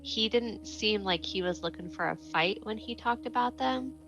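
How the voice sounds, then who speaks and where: A woman's voice: mid-range voice, normal speed, poor audio. A woman talking casually as a player in a tabletop role-playing game. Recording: music under the voice.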